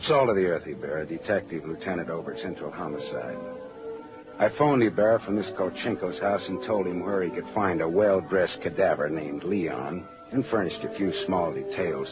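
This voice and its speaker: An actor playing a wry, hardboiled American private eye in an old radio drama, cool and sardonic, on a muffled, noisy recording. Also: male voice, low voice, moderate pace, music in the background, lightly acted. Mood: narrating. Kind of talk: mid-century radio drama acting.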